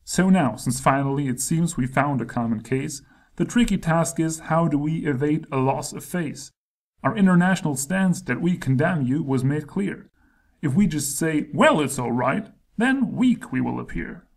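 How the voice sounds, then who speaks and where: A man's voice: a little high, expressive, normal speed, creaky, darkish tone. A male actor plays a self-righteous American statesman in a satirical verse play. He speaks in rhyme with a stern, oratorical delivery and a diplomat's formality. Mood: calculating.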